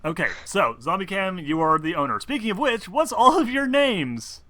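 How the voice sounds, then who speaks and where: A man's voice: high voice, sing-song, normal speed, bright, forceful tone, no room sound. A man acting as game master in a casual online tabletop session, prone to swearing. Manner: foul-mouthed.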